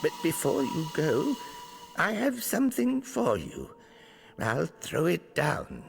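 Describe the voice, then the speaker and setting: An actor playing a cheerful old male prisoner, proud of surviving torture, with a creaky voice and jaunty gallows humour in a video game. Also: on the high side, wildly swooping pitch, slow pace, heavily acted. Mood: jaunty, kindly. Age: older. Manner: jaunty, darkly humorous. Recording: long reverb, music underneath.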